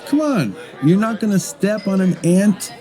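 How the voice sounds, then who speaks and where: Guy acting as a cocky argumentative buddy, audio drama, chatty and provocative, slightly high mellow voice. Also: big pitch swings, a little slow, soft background music, some chatter behind. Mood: teasing debate. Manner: glib, provocative, conversational. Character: brash, argumentative male friend debating ethics, teasing. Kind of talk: naturalistic audio drama dialogue.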